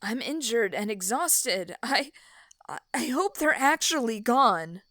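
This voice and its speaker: Female knight, woman acting: bright forceful tone, slow delivery, battle-weary, fantasy drama. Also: a little high, expressive, dry recording, studio quality. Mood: exhausted.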